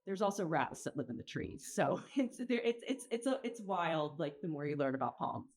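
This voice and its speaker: A woman with a fairly low voice gives an informal slide presentation. She explains things in measured phrases, often halting and pausing as she goes. She speaks as the presenter of an organization's projects. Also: expressive, darkish tone. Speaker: presenter, arts organization founder. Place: event pavilion.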